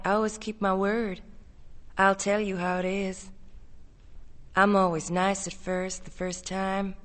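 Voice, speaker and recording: A woman voicing a menacing, smooth-talking man who coaxes insistently and possessively in folksy speech, for a story reading. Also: fairly low pitch, a bit bright. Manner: coaxing, insistent, folksy drawling grammar.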